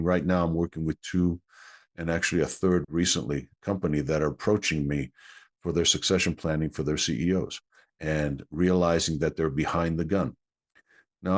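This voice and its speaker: Low-voiced Greek-native consultant, earnest. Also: male voice. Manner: earnest, explanatory. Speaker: guest consultant. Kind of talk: interview conversation.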